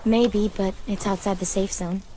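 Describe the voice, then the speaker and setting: An actress playing a dry, level-headed teenage girl survivor with a deadpan manner in a video game. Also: mid-range voice, moderate pace, slight background noise, close to an everyday voice, low-quality recording. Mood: steady. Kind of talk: video game drama acting.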